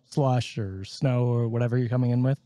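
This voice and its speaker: A man's voice: mid-range voice, fairly even intonation, fairly quick, warm tone, slight background noise. A man from Canada, chatting casually as a podcast co-host and hedging his opinions. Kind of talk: casual chat.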